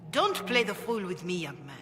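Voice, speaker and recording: A woman's voice: on the low side, sing-song, moderate pace, a bit bright, faint music behind. A woman playing a stern revered mother in a fantasy game, speaking in a formal, reproachful way. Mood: disapproving. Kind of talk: dramatic video game dialogue.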